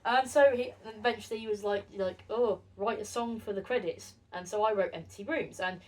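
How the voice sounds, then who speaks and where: A woman's voice: mid-range voice, moderate pace, a little mellow, far from the mic, rough recording. A woman co-hosting a casual chat, dry, blunt and teasing. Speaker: co-host.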